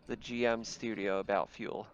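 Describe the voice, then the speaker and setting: A man talking casually as game master, explaining things in a halting way over dull, noisy voice-chat audio. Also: fairly low pitch, steady tone. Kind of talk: casual tabletop game talk.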